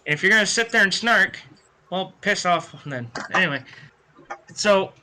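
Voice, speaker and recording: A man's voice: high voice, lively intonation, normal speed, sharp tone, slight background noise. A man on a casual panel podcast, talking bluntly and combatively with plenty of swearing. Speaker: podcast panelist explaining a tiebreaker.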